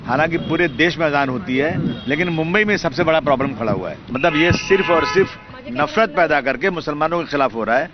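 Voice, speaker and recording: A man's voice: on the high side, animated, quick pace. A man giving a press soundbite in an aggrieved, repetitive way. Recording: dull location sound under music.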